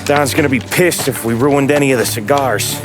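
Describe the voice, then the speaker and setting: An actor playing a businesslike, cautious mobster in a crime game, curt and practical, with an American accent. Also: male voice, medium pitch, a little fast, music in the background, close to an everyday voice. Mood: cautious, tense. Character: businesslike, cautious male mobster, obedient to his boss. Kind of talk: cinematic crime game acting.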